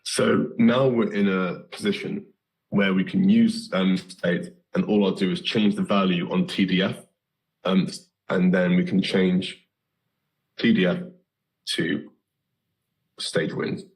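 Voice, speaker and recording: British guy with a low voice, a bit hoarse and nasal, teaching code, lots of ums. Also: takes it slowly, long pauses, low-quality recording. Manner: relaxed, lots of ums and self-corrections. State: hoarse and nasal after flu. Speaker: coding instructor. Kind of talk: live coding tutorial.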